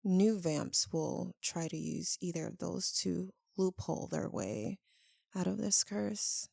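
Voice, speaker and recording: Lovesick vampire woman, voiced by an actress: anguished ASMR roleplay, halting and soft, mellow tone, very slow delivery. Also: lowish voice, steady tone, vocal fry, slightly rough, no echo, clean studio sound, full character voice. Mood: somber. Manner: halting, hushed, emotional delivery with long pauses. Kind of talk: ASMR roleplay, intimate dramatic monologue.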